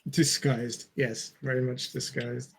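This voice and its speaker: A man's voice: mid-range voice, flat delivery, slow pace, vocal fry, a bit bright, slightly rough. A man with an Australian accent co-hosting a podcast in a casual, jokey, self-deprecating way. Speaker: podcast co-host. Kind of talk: casual chat.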